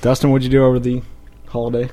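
A man with an ordinary, mid-range voice co-hosts a podcast. His delivery is casual chat, full of teasing and rough, crude joking. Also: medium pitch, monotone, fast, crowd noise. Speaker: podcast co-host.

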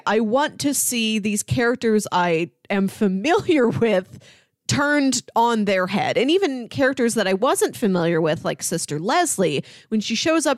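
A woman's voice: medium pitch, no echo, clean studio sound. A woman co-hosting a podcast, talking casually and conversationally as she discusses stories. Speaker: podcast co-host. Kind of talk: casual discussion of comics and film.

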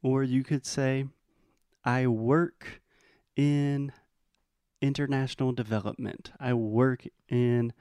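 A man's voice: medium pitch, little pitch movement, dark tone, clear, resonant tone, dry recording, studio quality. American man patiently teaching English phrases. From United States. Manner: patient, explanatory, models phrases slowly. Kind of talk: bilingual language lesson.

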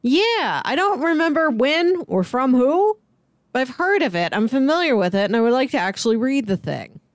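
A woman's voice: high voice, very animated, moderate pace, no echo, studio quality. A woman co-hosting a podcast in casual, conversational chat. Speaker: podcast co-host.

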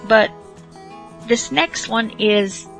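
A woman's voice: fairly high pitch, extremely slow, vocal fry, fairly bright tone. A woman hosting a show, chatting casually to listeners in a folksy, rambling way. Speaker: show host. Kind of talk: casual solo chat to listeners. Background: faint plucked guitar music.

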